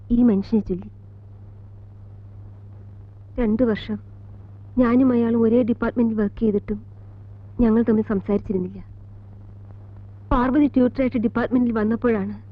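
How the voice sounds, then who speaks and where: An actress playing a composed middle-aged college teacher in a film drama, speaking in a measured Malayali accent with deliberate pauses between short phrases. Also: female voice, fairly high pitch, dry recording, natural voice. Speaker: actor in a film. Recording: tinny band-limited old film soundtrack, music behind.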